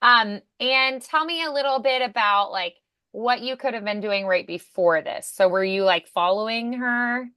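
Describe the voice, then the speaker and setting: A woman's voice: a little high, moderate pace, bright, forceful tone, clean tone, dry recording. A woman working as a voice acting coach, encouraging and affirming as she talks a student through questions one-on-one.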